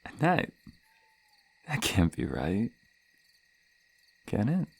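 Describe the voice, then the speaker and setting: A man's voice: fairly low pitch, huge pitch swings, no echo, exaggerated voice. A man playing a lonely, insecure masked killer in an intimate horror ASMR roleplay, stammering and flustered. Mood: teasing, unsure. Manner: stammering, halting, breathy sighs and nervous laughs.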